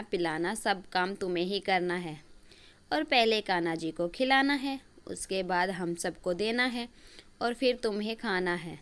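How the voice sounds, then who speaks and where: A woman's voice: fairly low pitch, fairly bright tone. A woman voicing a bossy, scolding middle-aged mother-in-law in a story cartoon, nagging and giving orders. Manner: nagging, commanding.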